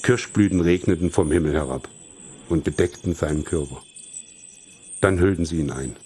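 A man with a very low voice narrates a literary story from a script. Music plays behind his reading. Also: normal speed, darkish tone.

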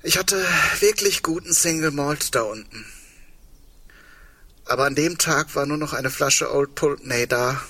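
An actor playing a shaken, grieving man at the end of the world in an intimate radio play, speaking in a halting, brooding way. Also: a little high, pushed and bright, heavily acted. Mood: bitter, brooding.

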